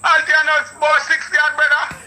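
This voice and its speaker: A man's voice: extremely high pitch, monotone, fairly quick, pushed and bright. A man in his fifties with a Jamaican accent on a phone call, indignant and swearing, brushing off the caller. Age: 50s. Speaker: prank call target. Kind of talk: phone conversation.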